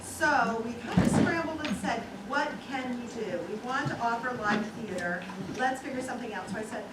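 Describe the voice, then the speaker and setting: Woman festival director, higher voice, informal stage introduction, distant and roomy recording. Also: normal speed. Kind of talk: casual spoken introduction to live audience. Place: small theater stage. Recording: echoey theater from far off, loud noise.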